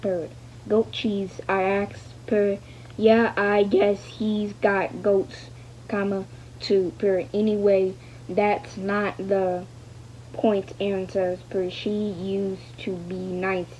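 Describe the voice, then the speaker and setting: Woman, halting read-aloud, stumbling and repeating, mellow tone, dull lo-fi recording. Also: mid-range voice, level tone. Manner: hesitant, stumbling over words, repeating fragments; speaks punctuation aloud. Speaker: learner reading a book aloud. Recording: dull lo-fi sound with faint noise.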